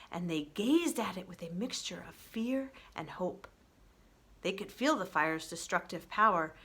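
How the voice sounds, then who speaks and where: A woman narrates a children's storybook with expression. Her voice sits at an average pitch for a woman. She reads aloud in the manner of a children's librarian sharing a chapter book. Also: a bit bright.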